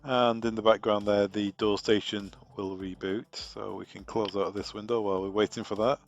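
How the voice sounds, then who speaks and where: A man with a British accent, a product trainer talking through a software configuration step by step, relaxed and thinking aloud with fillers. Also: lowish voice, normal speed, soft background music.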